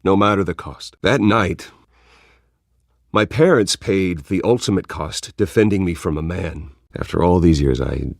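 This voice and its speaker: Low-voiced, earnest billionaire heir. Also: male voice, measured pace, vocal fry, dry recording, studio quality, exaggerated voice. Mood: solemn, earnest. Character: composed adult male billionaire heir, earnest and defiant. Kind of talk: video game voice acting, public speeches.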